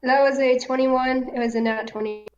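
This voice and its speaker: Higher-pitched woman gamer, muffled mic. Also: fairly even intonation, normal speed. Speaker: tabletop game player. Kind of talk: casual in-game chat. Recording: muffled lo-fi microphone, faint noise.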